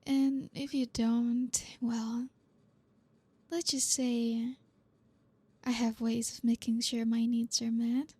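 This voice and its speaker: A woman's voice: a little high, flat delivery, slow pace, long pauses, dark tone, slightly rough, no room sound. A woman playing a flirty, teasing, dominant boss in an ASMR roleplay, speaking breathily and often slipping into a whisper. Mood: commanding, teasing.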